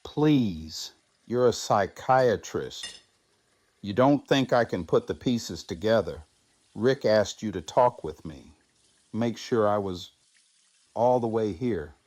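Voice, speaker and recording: A man playing a calm, firm pacifist in an audio drama, speaking in a measured, earnest way. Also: fairly low pitch, no room sound. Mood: wry, accepting.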